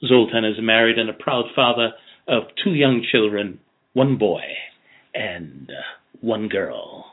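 A man's voice: on the low side, slight background noise. Man hosting over a tinny line. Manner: measured, reflective, frequent murmured backchannels. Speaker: podcast host. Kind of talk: interview, scripted intro then chat.

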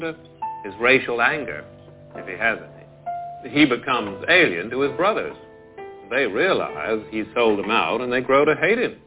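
A calculating male power broker in a film drama, speaks with smooth cynicism and a widely swinging, animated pitch. The sound is thin and phone-like, with music underneath. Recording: muffled phone-like film clip over music.

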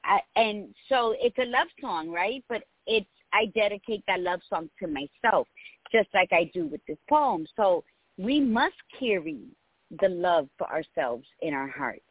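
A woman's voice: medium pitch, expressive, measured pace, breathy edge, no room sound. An older woman co-hosting a radio talk show, speaking reflectively and reciting a poem. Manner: warm, reflective, quotes poetry. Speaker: co-host. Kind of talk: casual radio chat. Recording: dry, phone-like line.

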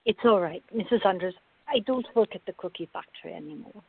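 A woman playing a sensible young working woman in a radio drama, measured, polite and dryly teasing. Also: medium pitch, lively intonation, vocal fry, slightly rough, dry recording. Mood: polite, guarded. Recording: dull lo-fi recording.

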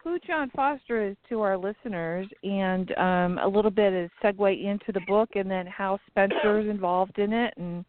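A woman hosting an internet radio show in casual chat, sounding stuffy and raspy, with many ums and uhs. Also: medium pitch, moderate pace, hardly any pauses, dry recording. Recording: tinny phone-line sound.

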